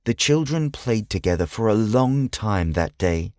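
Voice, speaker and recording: A man narrates a children's tale in a measured storyteller's manner. His delivery is slow and his pitch moves expressively from phrase to phrase. The sound is close and dry. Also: lively intonation, darkish tone, no echo. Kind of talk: scripted children's audiobook narration.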